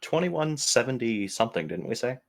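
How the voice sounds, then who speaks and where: A man's voice: mid-range voice, very animated, normal speed, creaky. A man playing a tabletop game, talking casually with the group.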